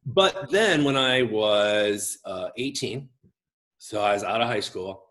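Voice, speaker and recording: Middle-aged man, a pastor, chatty interview guest, big expressive gregarious voice. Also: fairly low pitch, slow. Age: 40s. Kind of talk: casual conversational interview.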